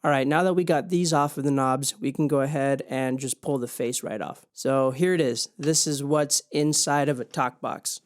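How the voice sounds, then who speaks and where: A man's voice: medium pitch, fairly even intonation, moderate pace, hardly any pauses. A man hosting a casual hands-on explainer video, speaking in an informal, plain-spoken way. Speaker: video host.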